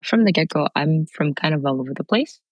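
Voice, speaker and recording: A woman's voice: low-pitched, animated, brisk, darkish tone, no room sound. Young woman guest, casual and rambling. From Haiti and Canada. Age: young adult. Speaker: podcast guest. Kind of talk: casual interview talk.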